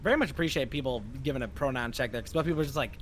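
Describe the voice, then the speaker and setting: A woman co-streaming a game, explaining mechanics in quick, rambling bursts and swearing casually. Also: very deep voice, a little hiss or hum. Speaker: co-streamer guest. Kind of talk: casual gaming stream chat.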